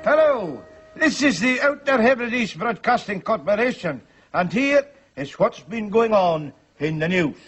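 A man's voice: high-pitched, sing-song, a little slow, pauses often, fairly bright tone, slightly rough, pitched above the performer's own voice, full character voice. A man voicing a grand male radio news announcer for a podcast jingle. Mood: announcing. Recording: jingle with music underneath. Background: music.

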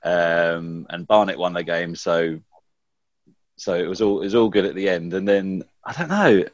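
A man with a very low voice tells old stories in a loose, rambling way, laughing easily as he goes. The sound is dry and a little dull, like a home podcast recording. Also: moderate pace, dry recording. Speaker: podcast guest, former goalkeeper. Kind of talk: casual reminiscing chat.

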